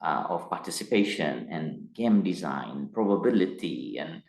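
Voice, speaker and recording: A middle-aged man from Tanzania, speaking as an interview guest in a measured, hesitant way that turns emphatic. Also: sits low, animated, gravelly, darkish tone. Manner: measured, hesitant with frequent uh fillers, grows emphatic. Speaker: guest, company executive. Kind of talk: interview conversation. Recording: roomy echo.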